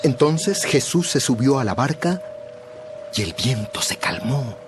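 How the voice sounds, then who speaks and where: Expressive male Bible narrator over soft music. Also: mid-range voice. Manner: expressive, measured storytelling. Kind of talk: scripted dramatized Bible narration.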